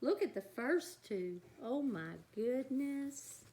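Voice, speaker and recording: A woman with a high voice reads a picture book to young children. Her delivery is very slow and full of lively swings, and she steps out of the text to chat straight to the listeners. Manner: expressive, talks directly to young listeners. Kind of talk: animated read-aloud with chatty asides.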